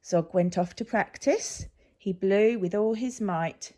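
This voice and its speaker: A woman reading a children's picture story aloud with expressive, emphatic delivery, like an infant school teacher. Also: medium pitch. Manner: animated, measured storytelling with emphasis.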